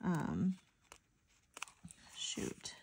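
A woman's voice: very slow, a little mellow, a little hiss or hum. A young woman with a slightly stuffy, nasal voice, rambling casually to viewers while decorating a planner. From Michigan. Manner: rambling, chatty, many "like"s, talks to viewers. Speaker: planner vlogger.

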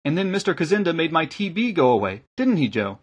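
A man puts on a young village girl from a storybook, eager and sincere. The pitch is lifted, with some huskiness. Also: sing-song, fast talker, breathy edge. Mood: earnest wonder. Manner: eager, earnest. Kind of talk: audiobook character acting.

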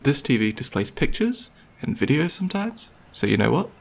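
A young man playing a gentle, nerdy, considerate boyfriend in an intimate ASMR roleplay, talking in a hesitant, soft-spoken, conversational way. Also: medium pitch, big pitch swings, normal speed, vocal fry. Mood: casual, wry.

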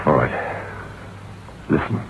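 An actor playing a haunted, frightened novelist who tells his tale deliberately in an old-time radio drama. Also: slight background noise, lightly acted. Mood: grave, tense. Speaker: actor and narrator. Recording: muffled old radio recording, music behind.

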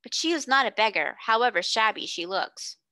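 A woman's voice: a little high, expressive, moderate pace, sharp tone, no room sound. A woman voicing a sensible, knowing young girl who speaks decidedly in a storybook reading. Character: sensible older sister, a rich girl.

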